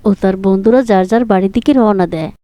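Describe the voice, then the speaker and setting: A woman telling a cartoon story in a calm, measured way. Her voice has a mid pitch and a mellow, dry tone. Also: smooth, dry recording. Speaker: narrator. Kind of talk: scripted storybook narration.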